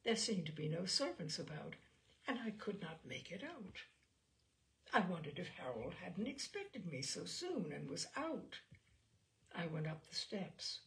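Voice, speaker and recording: A woman's voice: on the low side, very animated, measured pace, creaky, heavily acted, low-quality recording. A woman performing a tormented, bitter wife making a confession in a dramatic, intense storytelling manner. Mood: quiet recollection. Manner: dramatic, intense, hushed then rising to cries.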